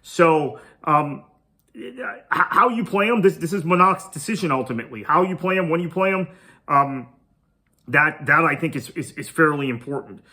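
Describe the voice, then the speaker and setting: Bright-voiced man previewing cricket. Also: fairly high pitch, expressive, normal speed. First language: Tamil. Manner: thinking aloud, conversational. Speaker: podcast host. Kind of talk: solo cricket preview commentary.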